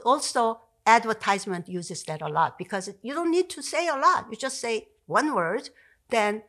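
A woman in her sixties, a native Korean speaker with a Korean accent, explaining language in an animated podcast chat, with many fillers and restarts. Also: mid-range voice. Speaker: Korean language professor, guest. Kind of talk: conversational explanation. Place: home study with bookshelves. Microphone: podcast mic on stand.

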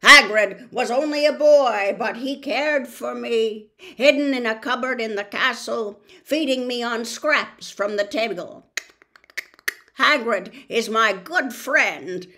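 A woman voicing an aged, blind male giant spider from a storybook, fretful and imperious, declaiming slowly with theatrical emphasis. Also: on the high side, bright, forceful tone, exaggerated voice. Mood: indignant, proud. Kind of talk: dramatized storybook reading.

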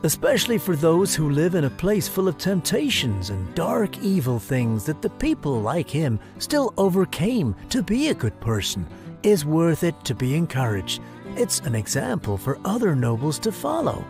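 A man voicing a gentle middle-aged saint in a cartoon, who explains things patiently. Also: on the high side, huge pitch swings, moderate pace, faint music behind. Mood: reassuring. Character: calm, gentle middle-aged male saint at heaven's gate.

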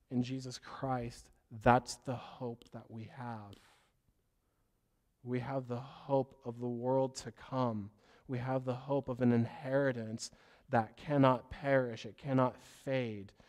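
Hoarse male pastor, scratchy voice, mid-pitched, earnest and rambling sermon delivery. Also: darkish tone. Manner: earnest, loses his train of thought and apologizes. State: hoarse from a cough.

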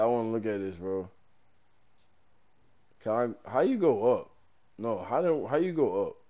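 Excitable young man, stuffy-voiced, lively. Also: medium pitch. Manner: excitable, slangy, says bro a lot. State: stuffy from allergies. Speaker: gaming streamer. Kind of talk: casual gameplay commentary.

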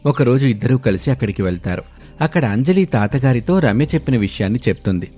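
A man's voice: medium pitch, lively intonation, fast talker, warm tone. A man narrating a scripted moral story as a storyteller. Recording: background music under the voice.

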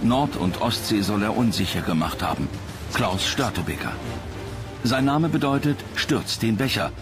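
Male narrator for scripted documentary, creaky mid-pitched voice, music underneath. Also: lively intonation, normal speed.

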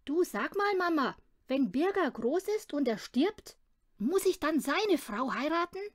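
A woman's voice: high voice, sing-song, a little slow, no echo, studio quality, voice pushed up. A woman voicing an earnest little boy in a children's storybook, with a childlike manner. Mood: puzzled, earnest.

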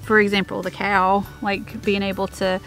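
A woman's voice: medium pitch, level tone, normal speed, a bit bright, soft background music, low-quality recording. A woman in her thirties talking casually outdoors on her homestead, with many fillers and restarts. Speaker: homestead vlogger. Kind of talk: casual chat.

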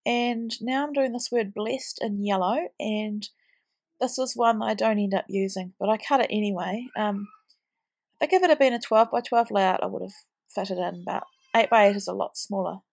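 A woman in her thirties talks through a craft project in a loose, rambling way, full of little ums. Her nose is blocked from a cold, so she sounds snuffly, and a gravelly fry runs through her mid-pitched voice. She sounds worn out, being late in pregnancy. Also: moderate pace, a little mellow. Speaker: scrapbooking vlogger. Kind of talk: casual craft voice-over.

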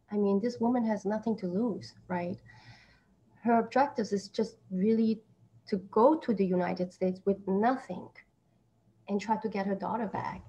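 A woman's voice: mid-range voice, warm tone, highs cut off. A woman in her thirties from Austria, born in Taiwan, giving conversational interview answers as an actress. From born in Taiwan, grew up in Austria. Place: small plain room indoors. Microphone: computer mic on a video call. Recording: video call with background noise.

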